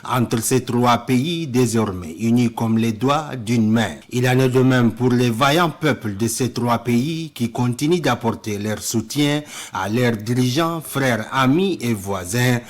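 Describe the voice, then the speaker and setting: Male news reporter, formal scripted voice-over, mid-pitched, measured and solemn.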